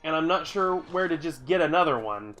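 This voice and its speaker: A man's voice: fairly high pitch, expressive, brisk, a bit bright, soft background music, rough recording. A man live-streaming a video game, stuffy from a cold, chatting casually with viewers in a rambling, grumbly way and swearing now and then. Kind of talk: casual live-stream chat while playing.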